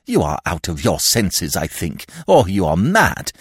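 A man putting on a shrill, testy old nobleman. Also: wildly swooping pitch, measured pace, no room sound, clean studio sound, heavily acted. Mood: horrified. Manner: shrill, blustering, impatient. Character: testy elderly male nobleman, fussy and shrill. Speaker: provincial lord and godfather.

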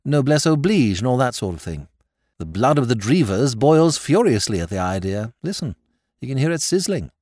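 A man voicing a wry young gentleman who speaks with languid irony. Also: mid-range voice, big pitch swings, normal speed, dry recording. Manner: dry, ironic, languid.

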